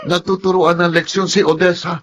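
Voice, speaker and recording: A man playing an agitated, earnest young neighbour in a Filipino radio drama, urgent and halting. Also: sits high, flat delivery, fairly bright tone, slight background noise. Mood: grave concern.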